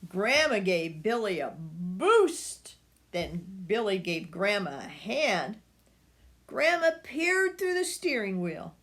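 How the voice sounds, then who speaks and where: A woman's voice: medium pitch, very animated, takes it slowly, a bit bright. An older woman reading a children's story aloud, with asides to her young listeners. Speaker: storybook reader.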